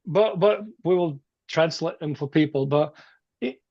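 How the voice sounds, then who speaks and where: A man with a Norwegian accent, a musician chatting casually and haltingly in an interview. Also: on the high side, creaky, darkish tone, dry recording, lo-fi sound. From Norway. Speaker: metal musician being interviewed.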